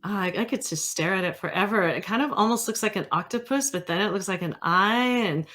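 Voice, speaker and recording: A woman's voice: medium pitch, brisk, a bit bright. A woman hosting a casual interview in a chatty, informal way. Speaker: interview host.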